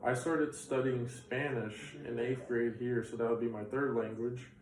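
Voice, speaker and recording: A man's voice: mid-range voice, level tone, a little slow, darkish tone, slight background noise. A young man in his twenties, born in Bulgaria with Bulgarian as his first language, recalling things casually in an interview, with plenty of fillers. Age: 20s. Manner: rambling, filler-heavy recollection. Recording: roomy sound from a distant mic.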